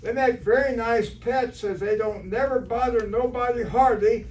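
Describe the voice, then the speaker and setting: A man's voice: extremely high pitch, normal speed, a little mellow. A man playing an earnest, naive, fussy biology teacher in an amateur stage re-creation of a radio comedy. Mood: fussy, disapproving. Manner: fussy, earnest, literal-minded. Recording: distant stage recording, faint noise.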